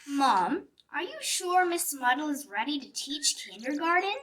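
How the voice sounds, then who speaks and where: A woman voicing a sensible, helpful kindergarten girl in a children's storybook, speaking in a hushed, tactful way. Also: high-pitched, very animated, a little slow, full character voice. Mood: tactful, concerned. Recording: distant mic with music behind.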